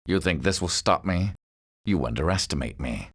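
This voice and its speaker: A man voicing a cold, arrogant superhuman villain for a video game, speaking with smug, deliberate menace and theatrical mockery. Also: low-pitched, lively intonation, fairly bright tone, husky, exaggerated voice. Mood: menacing. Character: cold, arrogant male villain, superhuman schemer with sinister calm.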